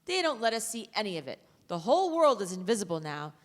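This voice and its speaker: Woman acting a haunted private, lively intonation. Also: medium pitch, fast talker, close to an everyday voice. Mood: haunted, matter-of-fact. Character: haunted, devout young army private, darkly confessional. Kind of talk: live staged play reading monologue. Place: theatre stage before an audience. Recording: live theatre, long hall reverb.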